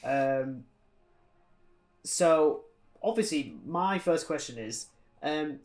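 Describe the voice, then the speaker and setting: A man's voice: fairly high pitch, animated, extremely slow, darkish tone, soft background music. A British man co-hosting a podcast, chatting casually about films in an opinionated, sardonic, ranting way. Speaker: podcast co-host. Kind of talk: casual film review chat.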